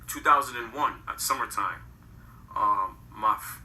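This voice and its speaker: A man's voice: fairly low pitch, unhurried, pushed and bright, echoey room, distant mic, slight background noise. A man in his thirties from Connecticut, telling his story in a rambling, casual way full of slang. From Connecticut, USA. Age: 30s. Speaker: guest. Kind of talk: casual interview.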